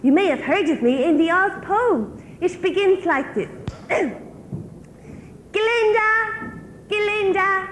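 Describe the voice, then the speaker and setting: A woman's voice: very high voice, lively intonation, takes it slowly, pauses often, slight background noise, full character voice. A woman playing a self-important, gushing good witch in a comic stage pantomime. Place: theatre stage in a large hall. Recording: echoey hall stage recording.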